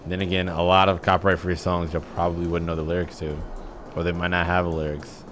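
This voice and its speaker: A man's voice: low voice, little pitch movement, quick pace, fairly bright tone, a little hiss or hum. A man giving casual, slangy reaction commentary over a game, talking back teasingly at what happens. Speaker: gaming reaction streamer. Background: game music under the voice.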